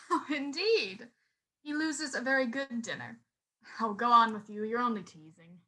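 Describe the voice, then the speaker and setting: A teasing, impatient wife in a radio play. Her voice is bright and somewhat high, with a playful edge. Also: normal speed.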